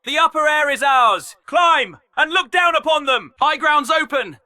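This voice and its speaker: A man playing a flamboyant, excitable arena combatant in a video game, throwing out exuberant, punchy callouts in short bursts. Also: very high-pitched, unhurried, heavily acted. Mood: excited, triumphant.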